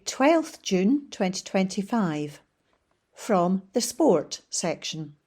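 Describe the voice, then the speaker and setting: A woman volunteer reading newspaper articles aloud in a steady, measured way. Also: medium pitch. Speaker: volunteer reader.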